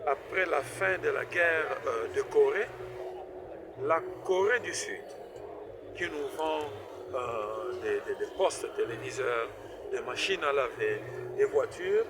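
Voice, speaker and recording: A man's voice: a little high, expressive, takes it slowly. Hesitant Congolese man, noisy forum recording. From Democratic Republic of the Congo. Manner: hesitant, many fillers and stuttered repeats. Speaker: professor and inventor interviewed at a forum. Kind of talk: interview answers. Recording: noisy event recording with vehicle sounds.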